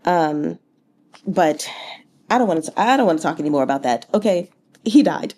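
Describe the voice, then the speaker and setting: Woman, soft mellow tone, casual book vlogger, conversational, expressive, incredulous asides. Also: fairly low pitch, moderate pace, a little hiss or hum. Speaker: book read-along vlogger. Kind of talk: casual book recap commentary.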